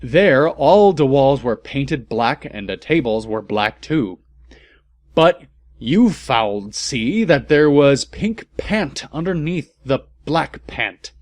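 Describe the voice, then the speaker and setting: A man's voice: mid-range voice, lively intonation, takes it slowly, dry recording, clean studio sound. A man voicing an angsty, self-absorbed teenage goth girl in a reading of amateur fan fiction. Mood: self-absorbed, brooding.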